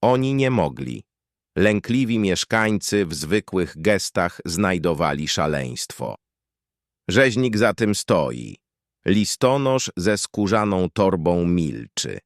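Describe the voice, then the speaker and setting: A man reading a scripted dark horror tale as narrator, in clipped, deliberate, poetic phrases. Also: low-pitched, very animated, no echo, clean studio sound.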